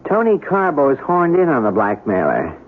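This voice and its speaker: A man's voice: mid-range voice, wildly swooping pitch, moderate pace. An actor playing a cool, persuasive studio man advising a film star, in old-time radio drama style. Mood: matter-of-fact. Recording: noisy, narrow-band old radio broadcast.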